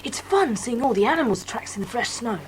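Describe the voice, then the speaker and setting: A woman's voice: on the high side, lively intonation, brisk, noisy background, natural voice. A woman playing an eager, earnest schoolboy in a dramatised audiobook, with polite, old-fashioned, keen speech.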